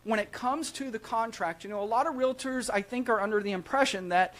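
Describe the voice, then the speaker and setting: A man's voice: high-pitched, brisk, fairly bright tone. A man hosting a live real estate show, chatting casually in an upbeat, salesman-like way and often checking in with "right?" tags. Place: small studio set at a desk.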